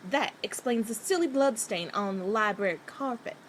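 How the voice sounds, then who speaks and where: A woman playing a kind, frank young girl in a radio play, earnest and gently scolding. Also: fairly high pitch, lively intonation, normal speed, slight background noise, lightly acted, poor audio. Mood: frank, sympathetic. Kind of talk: theatrical radio play acting.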